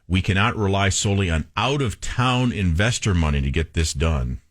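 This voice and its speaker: A man narrates a news report in a very low voice. The delivery is scripted, in the style of a reporter linking quotes. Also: expressive, normal speed.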